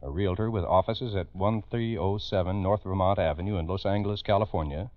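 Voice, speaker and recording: A man's voice: sits low, brisk, no echo, muffled recording. A man narrating a 1940s radio crime program in a grave, emphatic, urgent manner on an old recording. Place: radio studio.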